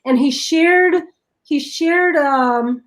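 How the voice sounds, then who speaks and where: A woman with a foreign accent chatting casually on a live stream, excitable and quick to laugh, often restarting her sentences. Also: sits high. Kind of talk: casual chat.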